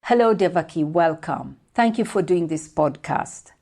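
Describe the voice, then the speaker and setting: A woman interviewer asking formal questions in a deliberate, respectful way. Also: lowish voice, expressive, creaky, a little mellow, soft background music.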